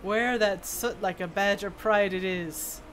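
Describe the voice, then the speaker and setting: A woman's voice: medium pitch, fairly even intonation, unhurried, a little hiss or hum, lightly acted. Woman putting on a hearty old dwarf blacksmith. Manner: folksy, proud, reading scripted dialogue.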